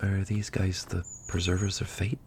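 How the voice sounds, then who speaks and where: Man acting a deep, gravelly fantasy warrior. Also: flat delivery, moderate pace, a little mellow, full character voice. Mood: guarded. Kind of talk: fantasy audio roleplay.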